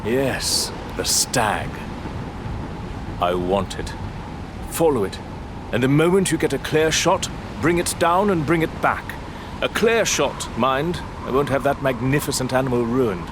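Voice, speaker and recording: A man plays an imperious aristocrat who hands out clipped, haughty orders. His mid-range voice rises and drops dramatically with greedy command. It is an audio drama scene with clear outdoor noise behind him. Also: mid-range voice, lively intonation, unhurried, natural voice.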